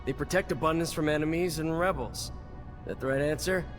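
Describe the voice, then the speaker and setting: An actor playing a cynical veteran soldier in a video game, gruff and blunt in manner. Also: male voice, a little high, fairly quick, natural voice. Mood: guarded, curt. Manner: gruff, sardonic, blunt, swearing. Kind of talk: video game dialogue acting. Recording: music behind the voice.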